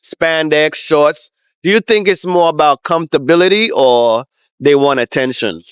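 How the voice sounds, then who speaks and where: A man conducting a street interview, asking short questions and answering with quick affirmations. His voice is slightly high and smooth. The recording is dry and close. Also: no room sound.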